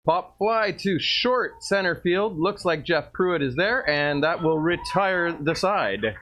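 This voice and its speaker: A man with a booming voice doing play-by-play commentary for a baseball game, lifting his voice on the plays. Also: animated, slow, fairly bright tone. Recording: dull lo-fi stream audio.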